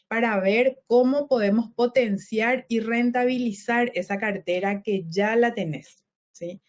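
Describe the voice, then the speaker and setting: A middle-aged woman, a dentist turned health business consultant, presenting a webinar in a slow, measured way and often checking in with the audience. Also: on the high side, lo-fi sound. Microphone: online webinar, computer mic.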